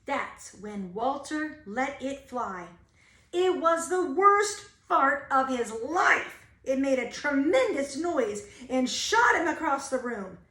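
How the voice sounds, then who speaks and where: A woman's voice: high voice, a bit bright. A woman reading a children's storybook aloud like a teacher, expressively stressing key words. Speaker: teacher reading a picture book. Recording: roomy, echoing home-style recording.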